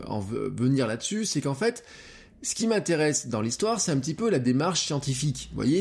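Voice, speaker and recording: Lively, hesitant podcast host thinking aloud. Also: male voice, mid-range voice. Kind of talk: solo podcast monologue. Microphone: close podcast microphone.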